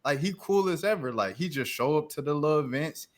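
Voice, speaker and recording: A man's voice: on the high side, animated, fairly quick. A young man hosting a podcast in casual, easygoing chat full of slang. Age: young adult. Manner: easygoing, chuckling, drifts into slang. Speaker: podcast host.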